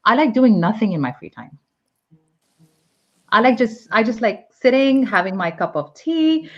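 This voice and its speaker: A woman's voice: medium pitch, highs cut off. A woman from Pakistan teaching English on a live video call, chatty and explaining with examples and many fillers. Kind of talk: live online English lesson.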